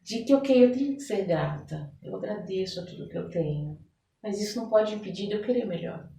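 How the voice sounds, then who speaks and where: A woman with a Brazilian accent explains her work as an interview guest, conversational and illustrative. Her mid-pitched voice sits away from the microphone in a roomy, echoing space, with some noise behind her. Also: lively intonation, a little fast, gravelly, warm tone. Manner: explanatory, illustrates with everyday examples and imagined quotes. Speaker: interview guest, holistic therapist. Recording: roomy echo, distant mic, background noise.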